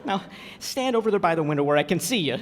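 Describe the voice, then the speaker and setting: Male actor as cowardly blustering working-class pop, folksy, very animated delivery, radio comedy, hall reverb. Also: high voice, fast. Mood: grudging. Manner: folksy ungrammatical speech, stammers when scared. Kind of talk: old-time radio comedy acting. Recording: echoey hall stage recording.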